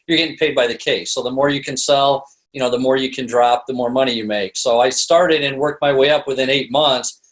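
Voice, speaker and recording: A man's voice: medium pitch, fairly even intonation, a little fast, few pauses, rough recording. Chatty middle-aged man telling anecdotes. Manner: chatty, rambling, peppered with "you know". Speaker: podcast guest, sales expert and author. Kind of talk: casual anecdotal interview storytelling.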